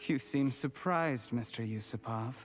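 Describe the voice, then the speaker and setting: A man acts out a young male schemer from a fantasy game. He speaks in a measured, deferential way with resentment underneath. His pitch is medium, and music plays behind him. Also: natural voice. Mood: cold, bitter. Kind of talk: video game voice acting.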